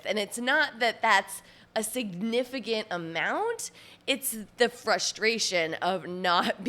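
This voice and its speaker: A woman's voice: on the high side, pushed and bright. A woman in her late forties hosting her own podcast as a personal trainer, talking casually with lots of fillers and little laughs, sounding a bit nasal from allergies. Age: 40s. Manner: chatty, laughs often, many fillers. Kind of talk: casual solo podcast talk.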